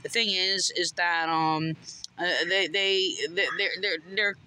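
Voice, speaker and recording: Defiant American woman, bright forceful voice. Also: lowish voice, fairly even intonation, a little slow, clear, resonant tone. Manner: defiant, insistent, repetitive. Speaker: caller. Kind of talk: impassioned personal monologue.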